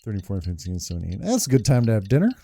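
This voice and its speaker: A man giving casual, low-key reactions and muttered commentary as he hosts a game playthrough. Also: sing-song, racing, mellow, slightly rough. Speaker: gameplay video host.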